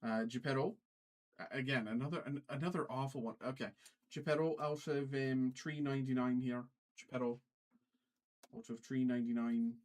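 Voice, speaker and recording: Halting man streamer with put-on Irish accent. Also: mid-range voice, steady tone, a little mellow. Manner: halting, repeats phrases. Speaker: trading card break livestream host. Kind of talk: casual livestream commentary, calling out cards.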